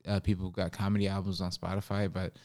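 A man's voice: sits low, level tone, fast, darkish tone. A young man chatting casually as a podcast guest, rambling and slangy. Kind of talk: casual chat.

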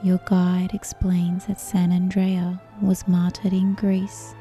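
A woman narrating a guided meditation in a soothing, measured manner, with long pauses and a soft, at times breathy voice. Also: lowish voice, completely flat delivery. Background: soft meditation music with birdsong.

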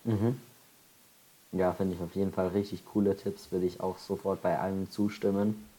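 Mellow, low-voiced male interviewer. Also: level tone, normal speed, poor audio. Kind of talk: casual interview questions.

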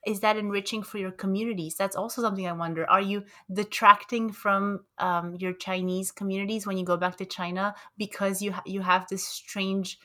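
A woman's voice: mid-range voice, level tone, brisk, hardly any pauses, a bit bright. A young woman co-hosting a podcast, playful and curious, asking probing questions in casual talk. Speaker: podcast co-host.

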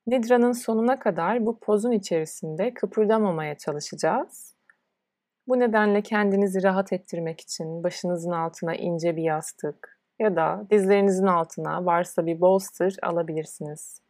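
Low-pitched woman guiding deep relaxation. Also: a little mellow, no echo, clean studio sound. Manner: soothing, unhurried instruction with pauses. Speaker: yoga nidra instructor. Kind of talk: guided relaxation meditation.

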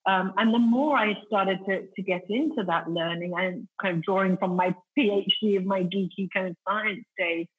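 Husky middle-aged woman. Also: mid-range voice, moderate pace, few pauses, narrow, tinny audio. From Italy, raised in the UK. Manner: articulate, explanatory, with frequent "you know" and restarts. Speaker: interview guest, company founder. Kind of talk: interview answers.

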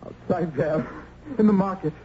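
An actor playing a devout, anxious colonial parson in an old-time radio drama, earnest and urgent, with archaic formal speech. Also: male voice, sits high, moderate pace, close to an everyday voice. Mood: grave, urgent. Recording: noisy, muffled old radio broadcast.

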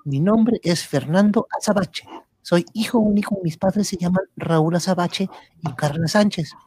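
A woman's voice: fairly low pitch, normal speed, mellow, slightly rough, dry recording, clean studio sound. A woman playing a helpful, earnest young boy in an amateur radio drama, speaking as if reciting.

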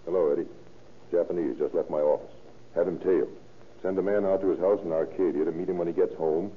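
An actor playing a brisk, authoritative, skeptical American police detective in an old-time radio drama, with a dry manner. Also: male voice, low voice, steady tone, a little hiss or hum, close to an everyday voice. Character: hard-boiled, skeptical male police detective in charge. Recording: tinny old radio recording.

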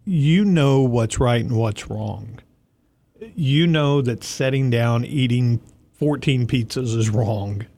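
A man's voice: medium pitch, slow pace, darkish tone. A man hosting a casual talk show, stuffy from allergies, giving folksy, encouraging advice straight to a listener. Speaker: host.

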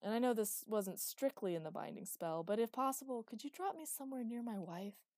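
A woman voicing a reckless, bloodthirsty man who shouts and taunts loudly. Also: a little high, expressive, fairly quick, dry recording, studio quality, voice pushed up. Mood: gleeful, taunting. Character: reckless bloodthirsty young man, gleeful and crude.

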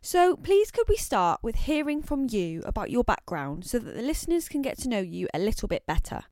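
Woman host, scripted intro, welcoming, higher voice, lively pitch. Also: a little fast, no echo, clean studio sound. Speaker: podcast host.

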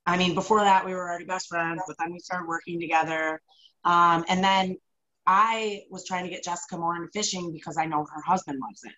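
A woman's voice: on the low side, steady tone, brisk, pushed and bright. A woman in her thirties from upstate New York, plainly telling her backstory as a guest. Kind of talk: casual interview chat. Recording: dull lo-fi sound.

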